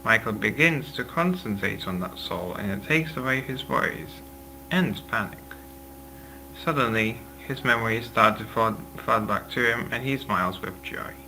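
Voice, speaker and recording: Low, sharp-edged man narrating, faint hum. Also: lively intonation, moderate pace, poor audio. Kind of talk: scripted story narration at a table read.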